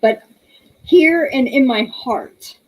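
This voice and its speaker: Slow-talking woman in her thirties. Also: high-pitched, rough recording. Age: 30s. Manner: chatty, laughs often, reads comments aloud. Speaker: livestream host. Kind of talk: casual chat reading viewer comments.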